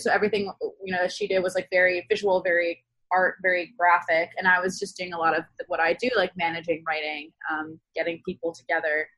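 A young adult woman being interviewed, speaking casually and analytically, often halting and circling back to rephrase. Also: on the low side, a bit bright, no room sound, poor audio. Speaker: interview guest, zine co-founder.

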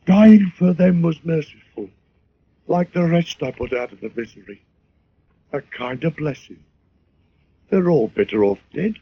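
An actor playing a mad, murderous barkeeper with a folksy, bitter manner and a husky voice in 1940s radio drama style. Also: male voice, a little high, expressive, measured pace, pauses often, full character voice. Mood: crazed, vengeful. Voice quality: husky, gravelly. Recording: dull old radio recording.

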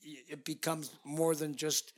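A man in his late fifties, a podcast co-host in casual chat, thinking aloud with frequent pauses and ums. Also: fairly high pitch, flat delivery. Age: 50s. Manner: hesitant, pondering, many ums.

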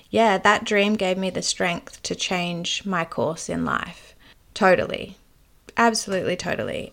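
A woman's voice: medium pitch, creaky. A woman who works as a dream teacher, telling a personal story to her viewers in an earnest, heartfelt way.

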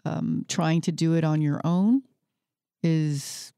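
Upbeat woman radio host, low-pitched. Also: steady tone, takes it slowly, warm tone, clear, resonant tone, dry recording, clean studio sound. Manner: enthusiastic, upbeat interjections. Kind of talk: radio talk show. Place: radio studio.

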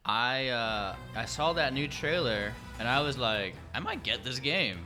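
Male co-host, casual, rambling, bright voice, faint music under. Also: medium pitch, lively intonation, unhurried. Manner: rambling, hesitant, acts out quotes. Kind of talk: casual chat.

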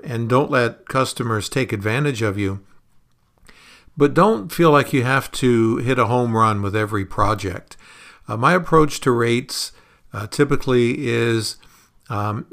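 Male podcast host in the guest seat: conversational, measured, mid-pitched voice, explanatory delivery. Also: fairly bright tone. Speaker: podcast host being interviewed as a consultant.

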